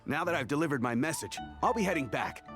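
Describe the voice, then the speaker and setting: A low-voiced, dutiful sailor. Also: female voice, animated. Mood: matter-of-fact. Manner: brisk and matter-of-fact. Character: dutiful female sailor messenger. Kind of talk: video game voice acting. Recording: game audio with clear music behind.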